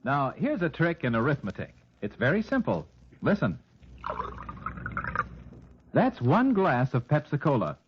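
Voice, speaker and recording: A man delivering a scripted old-time radio advertisement as a pitchman. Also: huge pitch swings, measured pace, long pauses. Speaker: commercial pitchman. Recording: dull noisy old radio recording.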